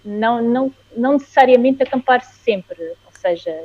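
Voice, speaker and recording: A woman from Angola, a guest on a podcast, with a dark, mellow voice. She talks hesitantly, repeats words and laughs shyly. Her dry, dull recording has no room sound. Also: on the high side, moderate pace, dry recording. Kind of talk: casual interview chat.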